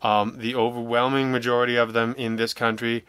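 Guy being interviewed, chatting casually, wry self-mocking storyteller, really clear bright voice. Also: mid-range voice, brisk, no echo. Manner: wry, self-deprecating, animated storytelling with mock quotes. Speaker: interview guest, audio drama writer and producer.

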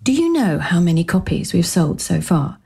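An actress playing a pushy, upbeat new-age book agent with a breathy voice in an audio romantic comedy. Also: female voice, on the low side, very animated, normal speed, warm tone, heavily acted. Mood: persuasive. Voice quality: breathy, husky. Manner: persuasive, breezily insistent.

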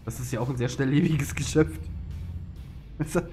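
A man's voice: medium pitch, moderate pace, dark tone, faint music behind. Rambling man narrating a truck game. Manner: chatty, filler-heavy, self-deprecating. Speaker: let's play host. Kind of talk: rambling gameplay commentary.

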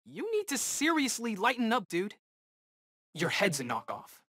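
A man's voice: sits high, wildly swooping pitch, unhurried, pushed and bright, exaggerated voice. A man voicing a cocky, wisecracking eighteen-year-old superhero in a video game, talking in slangy battle quips. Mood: cocky, teasing. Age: young adult.

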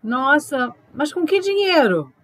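Woman acting a high-voiced, inquisitive girlfriend. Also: sing-song, takes it slowly, a bit bright, full character voice. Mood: bewildered. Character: concerned, questioning woman in love. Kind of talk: audio drama acting.

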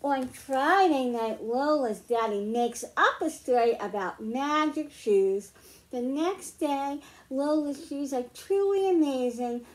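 A middle-aged woman reading picture books aloud to young children, explaining slowly and clearly at home. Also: sits high, animated. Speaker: children's librarian. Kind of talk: storytime read-aloud for kids.

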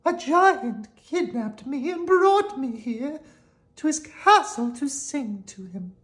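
A man voicing a gentle captive golden harp, a female figure with a soft, high, slow voice. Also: animated, exaggerated voice. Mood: pleading.

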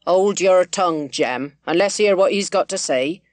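Rustic male innkeeper, read by a female narrator: low, firm, plain-spoken, calming, classic audiobook. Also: moderate pace, dry recording, lightly acted. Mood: firm, commanding. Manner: conciliatory yet firm, rustic phrasing. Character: blunt, steady male village innkeeper taking charge.

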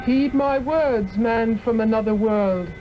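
A woman's voice: on the high side, slow pace, heavy background noise. An actress playing a noble, enslaved woman from another world in a 1960s radio drama, speaking in a formal, grave manner. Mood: solemn warning. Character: noble otherworldly enslaved woman, solemn and warning. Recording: muffled old radio recording with music.

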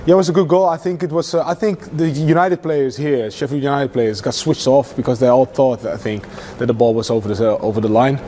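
Halting mellow-voiced male football pundit. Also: medium pitch, fairly even intonation, slight background noise. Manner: halting, many fillers and restarts. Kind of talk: studio match analysis. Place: TV studio.

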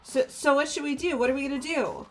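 A woman playing an anxious, excitable teenage schoolgirl in a visual novel dub, pleading and flustered. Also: high voice, fast, close to an everyday voice. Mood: frightened. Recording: background game music.

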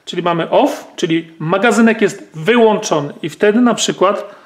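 Male host, high-pitched, chatty hands-on explainer. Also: moderate pace. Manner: explanatory, frequent fillers and tag questions. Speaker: gun channel host. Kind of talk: hands-on firearm demonstration.

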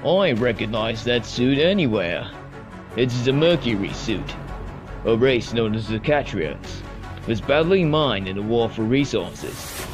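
A man's voice: mid-range voice, expressive, unhurried, over music, close to an everyday voice. A man voicing a brash young male action hero in an amateur cartoon. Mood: casual, determined. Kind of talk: amateur animated cartoon voice acting.

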